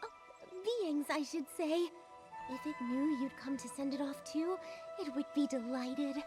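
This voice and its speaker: A serene female bard in a game cutscene. Her voice is high and lyrical, with unhurried, poetic phrasing. Music plays clearly underneath. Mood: wistful, tender. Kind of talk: scripted video game voice acting.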